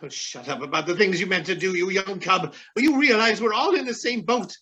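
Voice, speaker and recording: A man's voice: sits high, a little fast, sharp tone. An actor playing a cantankerous, frightened old man in a radio drama, blustering and scolding as he cries out. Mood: grumpy, scornful. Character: cantankerous old man trapped in a flooding mine, terrified of dying. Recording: very noisy track with clinks and clatter.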